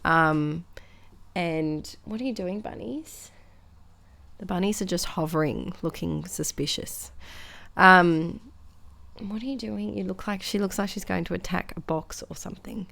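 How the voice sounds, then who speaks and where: A young Australian woman with a slightly croaky voice, chatting casually in a rambling, self-deprecating way as she narrates her scrapbooking. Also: lowish voice, fairly bright tone. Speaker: scrapbooking vlogger.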